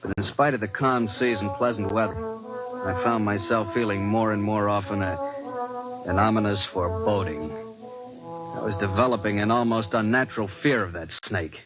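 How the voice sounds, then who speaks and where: A man's voice: fairly low pitch, moderate pace, natural voice. A man playing a tough, determined American adventurer and animal collector, telling his story dramatically in an old-time radio drama. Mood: grave, suspenseful. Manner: hard-boiled, dramatic. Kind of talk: old-time radio drama, first-person narration and dialogue. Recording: muffled old radio recording with music.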